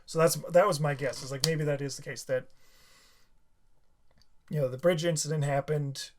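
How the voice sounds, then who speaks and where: A tired man with a slightly sore throat, talking through a reaction video in a halting, rambling way with many restarts. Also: fairly high pitch, steady tone, noisy background. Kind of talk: casual rambling commentary.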